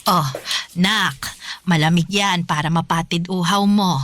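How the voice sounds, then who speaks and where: A woman's voice: on the low side, steady tone, a little slow, a bit bright, a little hiss or hum. An actress with a Filipino accent playing a doting, fussing older mother in a radio drama. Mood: doting, grateful.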